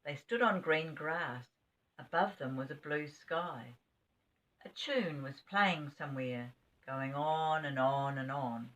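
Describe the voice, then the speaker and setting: A woman's voice: sits low, slow, a bit bright, recorded from a distance, muffled recording. An older woman reading a children's storybook aloud as a grandmother would, expressively and with small asides to her listeners.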